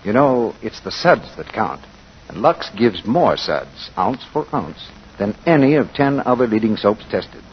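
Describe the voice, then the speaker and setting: A man's voice: on the low side, big pitch swings, measured pace. A man announcing a scripted 1940s radio advertisement with persuasive, neighborly sales patter. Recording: muffled, noisy 1940s radio broadcast.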